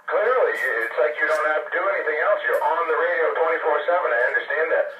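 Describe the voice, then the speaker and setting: A man's voice: a little high, fast, sharp tone. A man with an American accent arguing casually over a CB radio, sarcastic and dismissive. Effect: CB radio transmission. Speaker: CB radio hobbyist. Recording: echoey, slightly noisy, distant CB radio.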